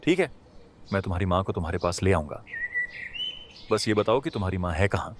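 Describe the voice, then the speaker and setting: A man's voice: low voice, animated, brisk, noisy background. A man voicing a kind-hearted, emotional poor villager in a Hindi cartoon, speaking in a dramatic, pleading way. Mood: astonished, eager. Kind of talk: Hindi animated moral story dialogue.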